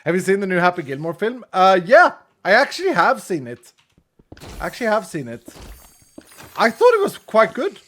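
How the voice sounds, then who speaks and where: A man's voice: high voice, very animated, moderate pace, pauses often, fairly bright tone. Chatty Swedish-accented man streaming. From Sweden. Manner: chatty, self-deprecating, laughs often, trails off mid-sentence. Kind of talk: casual chat while gaming, reading chat.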